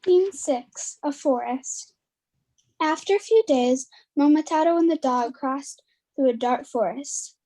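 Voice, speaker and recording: A woman reads scripted folktale narration. Her voice sits high, with a mellow, rounded tone, setting each scene for the listener. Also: a little slow, poor audio.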